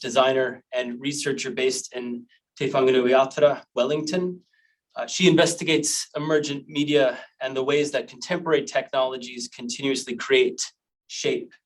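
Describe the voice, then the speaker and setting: A man of middle pitch chairs an online panel and introduces its speakers in a measured, formal way. His voice comes from some distance away from the microphone over a video call. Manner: measured, formal speech with Māori greetings.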